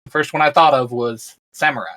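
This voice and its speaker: A man co-hosting a casual podcast chat, excitable and rambling, laughing often. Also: mid-range voice, quick pace. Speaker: co-host.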